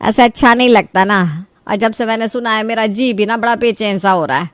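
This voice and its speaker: A woman voicing a caring but fussy middle-aged mother-in-law in an animated story, chatty, fretful and motherly. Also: on the high side, brisk, no room sound, close to an everyday voice. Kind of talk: Hindi animated story voice acting.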